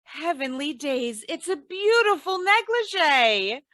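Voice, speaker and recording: Woman playing a bright, high-voiced, kindly wife. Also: big pitch swings, slow, dry recording, clean studio sound, exaggerated voice. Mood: delighted, soothing. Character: patient, kindly, gently exasperated wife. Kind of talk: old-time radio comedy acting.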